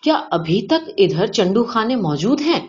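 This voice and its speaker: A woman's voice: mid-range voice, sing-song, a little mellow, natural voice. Woman reading as a reserved, wry man, dull audio. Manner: brief, evasive, understated replies. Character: reserved, wry male visitor, the story's narrator.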